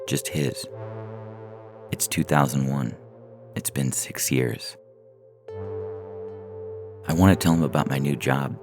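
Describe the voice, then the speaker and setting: A man reading a first-person short story as a narrator, in a measured, deliberate way, from a script. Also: very deep voice, gravelly. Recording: music bed under the voice. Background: synthesizer music.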